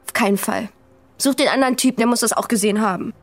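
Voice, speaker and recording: A woman's voice: fairly high pitch, fast talker, no echo. An actress in a radio play playing a defiant, frightened young female petty burglar, distrustful and insistent. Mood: wary, defiant.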